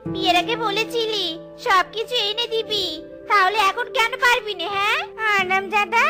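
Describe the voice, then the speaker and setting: An extremely high, girlish cartoon fox wife. She is greedy and petulant, nagging and bossing with whiny insistence. Loud tinkly music runs behind her. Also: steady tone, normal speed. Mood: furious. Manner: whining, bossy, insistent. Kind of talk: cartoon voice acting in a comic folk tale.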